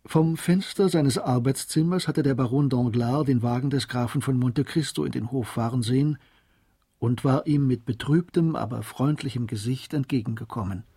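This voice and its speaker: A man narrating a literary radio drama in German, in a scripted storytelling style with a soft-edged voice. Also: medium pitch, normal speed, slightly rough.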